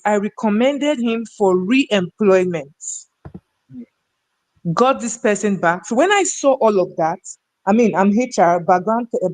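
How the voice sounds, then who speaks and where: A woman's voice: fairly high pitch, a little mellow, poor audio. Nigerian woman telling stories emphatically. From North Central Nigeria. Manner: emphatic, rhetorical questions. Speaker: human resources professional, talk show guest. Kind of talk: conversational storytelling and opinion in a discussion.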